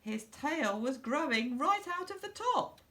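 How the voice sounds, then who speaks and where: A middle-aged woman reading a children's storybook aloud in a deliberate, expressive way for young listeners. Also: high-pitched. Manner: slow, deliberate, simple phrasing for young children. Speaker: school storyteller.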